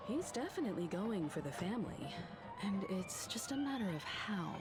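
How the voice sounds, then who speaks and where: A woman playing a shrewd, poised, analytical character in a fantasy video game, reasoning aloud deliberately. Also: medium pitch, very animated, over music, close to an everyday voice. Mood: speculating, measured. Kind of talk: scripted game dialogue.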